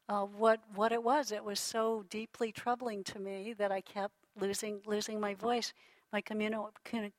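A middle-aged woman lecturing a class on healing in an unhurried, reflective way, with a voice that sits at an ordinary middle pitch. She often circles back to restart her sentences and breaks into soft laughs. The recording is close and dry, with no room sound. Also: no echo, poor audio. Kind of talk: relaxed teaching talk to a class.

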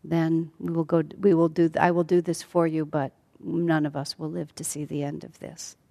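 A woman's voice: deep voice, flat delivery, normal speed, gravelly, dark tone. A woman storyteller voicing a doomed demon subject, resigned to a tyrant's orders. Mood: grim resignation. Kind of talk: storytelling acted dialogue. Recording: hall with long reverb.

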